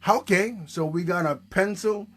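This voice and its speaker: A man presenting a children's puppet show, playfully coaxing with questions and hesitant pauses. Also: high voice, fairly bright tone.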